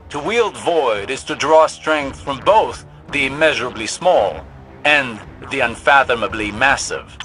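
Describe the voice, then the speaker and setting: A man's voice: mid-range voice, bright, forceful tone, poor audio. A man playing a learned scholar in a video game, narrating lore in a pensive, precise manner. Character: learned male scholar of cosmic forces.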